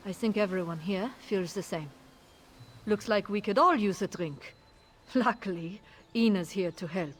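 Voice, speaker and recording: Actress as game companion, soft-spoken, reflective. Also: female voice, mid-range voice, unhurried, a little hiss or hum, lightly acted.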